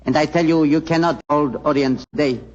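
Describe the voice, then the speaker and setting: Casting: male actor for haughty, commanding Indian court counselor, stilted menace, vintage radio drama, higher-than-average pitch. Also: fairly even intonation, fairly quick, lightly acted. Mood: haughty, forbidding. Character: Mada, imperious, hostile Indian palace counselor. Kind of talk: 1940s radio drama acting. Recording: muffled old radio recording.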